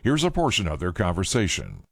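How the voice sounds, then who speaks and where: A male local news reporter reading a scripted newscast in a steady, measured way. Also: low voice, gravelly, slightly rough, no echo.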